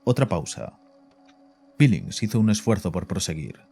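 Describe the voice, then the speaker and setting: Casting: male narrator for horror audio fiction, low register, dark soft timbre. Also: sing-song, normal speed, creaky, breathy edge. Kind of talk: scripted horror story narration. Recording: voice over background music.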